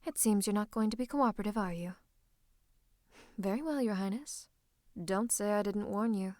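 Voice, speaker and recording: A woman's voice: mid-range voice, normal speed, darkish tone, no room sound, studio quality, natural voice. A woman playing a scheming royal advisor and sorcerer in an ASMR roleplay, smug and mock-courteous, slipping into menacing whispers. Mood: coolly threatening. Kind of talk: ASMR roleplay, theatrical monologue to the listener.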